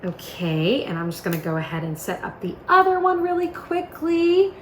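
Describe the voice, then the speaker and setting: A woman's voice: high-pitched, big pitch swings, moderate pace. A woman giving a casual product demonstration in an upbeat, informal, chatty way. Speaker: product presenter. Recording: echoey room with faint noise.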